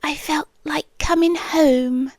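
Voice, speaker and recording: A woman's voice: high-pitched, steady tone, darkish tone, dry recording, studio quality. A British woman voicing an ancient, possessive siren captor, sweetly menacing, in an intimate roleplay ASMR monologue that often drops toward a whisper. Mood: dreamy, possessive. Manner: slow, coaxing, often dropping into whispers.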